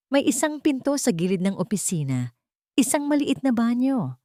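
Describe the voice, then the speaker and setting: Lady telling a dramatic suspense story, kinda low voice, close dry sound. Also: darkish tone, no room sound. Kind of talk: scripted dramatic narration of a romance suspense story.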